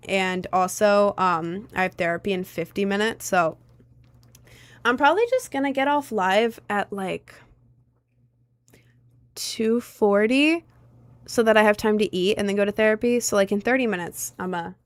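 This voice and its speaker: A woman's voice: medium pitch, dry recording. A young woman, not yet twenty, talks loosely into a close phone mic on a livestream, answering viewers as thoughts come to her. Her voice sits in an ordinary middle register and sounds dry, with no room around it. She wanders through her sentences with plenty of "like" and "um". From United States. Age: young adult. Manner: rambling, chatty, lots of "like" and "um" fillers.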